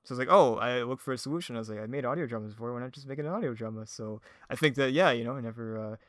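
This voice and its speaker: A man's voice: mid-range voice, fairly bright tone, dry recording. Rambling man chatting casually, dry close sound. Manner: rambling, halting, self-effacing. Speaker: podcast guest, audio drama creator. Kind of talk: casual chat.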